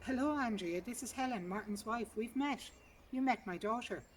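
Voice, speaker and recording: A woman's voice: fairly high pitch, moderate pace, a little hiss or hum, voice pushed up, close to an everyday voice. Storybook role: frightened young mother played by a woman reader, strained, pressing, calling out. Outdoor, distant sound with birdsong. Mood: tense, polite. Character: frightened, anxious young mother searching for her husband. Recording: outdoor garden recording, distant mic, birdsong.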